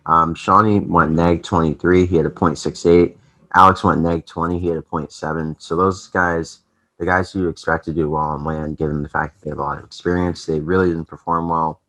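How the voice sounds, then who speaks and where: A man's voice: low voice, level tone, fairly quick, a bit bright, low-quality recording. A young man hosting a casual esports recap vlog, chatty and rambling with lots of fillers, his voice a little off from allergies. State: voice affected by allergies. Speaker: esports channel host.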